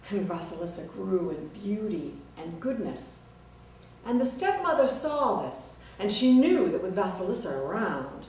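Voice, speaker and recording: A woman's voice: medium pitch, a little mellow, slight background noise. Echoey woman storyteller, suspenseful. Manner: suspenseful, deliberate phrasing. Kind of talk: dramatic live-style folktale narration. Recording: very echoey room, distant mic.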